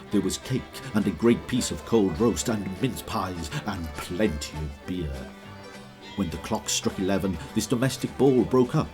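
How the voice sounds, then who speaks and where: A man narrating a Victorian ghost tale as a solemn, dramatic storyteller. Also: low voice.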